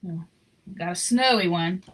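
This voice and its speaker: A woman reading a children's picture book aloud in an expressive, informal way with chatty asides. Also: fairly low pitch, moderate pace, low-quality recording. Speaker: story reader.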